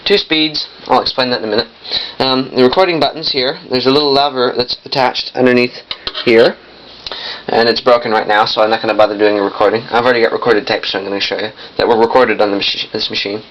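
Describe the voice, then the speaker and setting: A young man giving a casual hands-on demonstration in a halting, piecemeal way, often correcting himself. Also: mid-range voice, steady tone, fairly bright tone. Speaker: vintage audio collector demonstrating a machine. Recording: muffled lo-fi camcorder sound, noisy.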